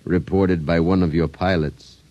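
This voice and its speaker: An actor playing a sinister, self-important doll maker and blackmailer, courtly, deliberate and grandiose, in 1950s radio drama style. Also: male voice, low-pitched, fairly even intonation, warm tone, no room sound, lo-fi sound. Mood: smoothly menacing.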